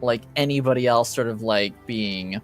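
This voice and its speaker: A man narrating a tabletop roleplaying game as game master, with a halting, deliberate delivery. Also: medium pitch, faint music behind.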